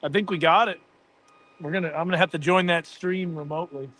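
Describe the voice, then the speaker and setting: A man's voice: a little high, steady tone, a little fast, fairly bright tone. An American man hosting a casual livestream with enthusiastic, conversational live commentary. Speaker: livestream host.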